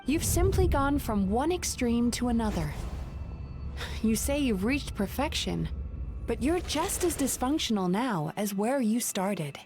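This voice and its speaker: A woman playing a composed, earnest dream therapist in a video game, measured, articulate and gently firm. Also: on the high side, big pitch swings, over music.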